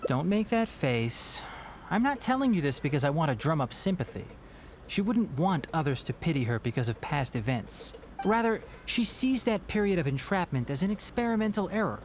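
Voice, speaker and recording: A man playing an earnest, thoughtful scholar in a video game, explaining things in a measured, respectful way. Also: a little high, sing-song, a little hiss or hum. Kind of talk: video game voice acting, storytelling.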